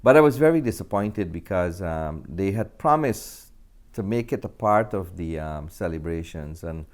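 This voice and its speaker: A man's voice: fairly low pitch, a little mellow. A middle-aged man with a Guyanese accent, answering conversationally as an actor and film director being interviewed.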